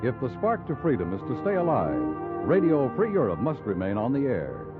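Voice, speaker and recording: Animated American radio announcer, muffled old recording. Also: male voice, mid-range voice, normal speed. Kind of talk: 1950s network radio announcing. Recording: muffled 1950s radio recording, loud music.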